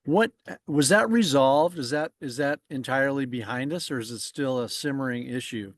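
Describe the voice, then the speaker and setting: A man's voice: medium pitch, moderate pace. A man co-hosting an interview show who rambles and halts, repeating words as he restarts his sentences. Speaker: co-host. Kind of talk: casual interview chat.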